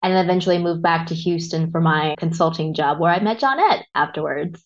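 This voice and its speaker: Guest, woman in her 30s, low voice, candid and reflective, dull lo-fi audio. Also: brisk. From Vietnam, raised in Texas. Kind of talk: reflective interview talk.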